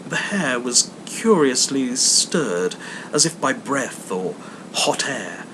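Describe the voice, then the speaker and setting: A man reading a classic ghost story aloud in an expressive, dramatic manner with emphatic stresses. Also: takes it slowly. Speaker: audiobook reader. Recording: clear background noise, low rumble and hum.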